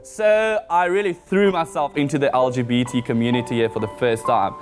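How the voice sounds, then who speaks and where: A man's voice: medium pitch, steady tone, brisk. A young man from South Africa with an Afrikaans accent, chatting casually as an interview guest and laughing often. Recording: music bed underneath.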